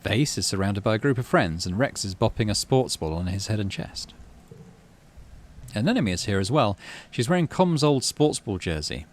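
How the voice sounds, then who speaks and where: A man's voice: lowish voice, big pitch swings, moderate pace. A man casually commentating on a game, thinking aloud in murmured asides and reading story text out. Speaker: gaming channel host.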